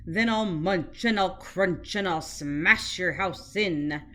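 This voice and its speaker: Big bad storybook shark done by a lady, deeper and louder, growly threats, slow. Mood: menacing. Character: big bad male shark, menacing and loud.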